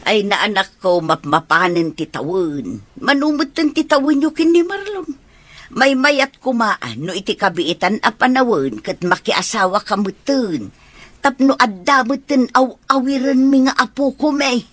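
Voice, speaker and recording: Husky, lively nagging mother. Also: a little high, measured pace, no room sound. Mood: nagging, coaxing. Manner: coaxing, emphatic, teasing. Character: nagging, teasing mother eager for a grandchild. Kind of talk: Ilocano radio comedy drama acting.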